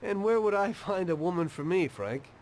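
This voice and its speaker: A weary, troubled gangster. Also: male voice, high voice, animated, normal speed, a little mellow, a little hiss or hum. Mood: troubled, doubtful. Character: weary, troubled male gangster, former taxi driver. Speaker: narrator and character in a crime video game. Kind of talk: confessional narration and dramatic game dialogue.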